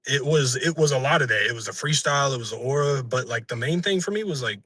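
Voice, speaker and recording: A young man with a raspy voice, a musician being interviewed, chatting casually and earnestly in slang and profanity, often backtracking. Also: mid-range voice, lively intonation, quick pace, pushed and bright, dry recording. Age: young adult.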